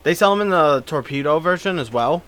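Bright-voiced man, teasing banter. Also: on the high side, lively intonation, fairly quick. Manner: teasing, laid-back banter. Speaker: podcast co-host. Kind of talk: casual chat.

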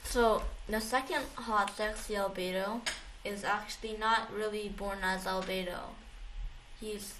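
A woman in casual conversation, speaking at a middle pitch. She rambles in a slangy, joking way as she retells things. There is faint noise on the recording. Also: fairly even intonation, measured pace, a bit bright, low-quality recording. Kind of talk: casual chat.

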